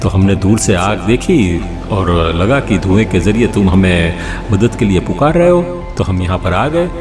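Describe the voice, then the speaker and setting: A man's voice: low-pitched, lively intonation, unhurried, over music. A man playing a reassuring sailor and rescuer in an audio story, explaining things as he goes. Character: reassuring male sailor who rescues a castaway. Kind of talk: Hindi audio story acting.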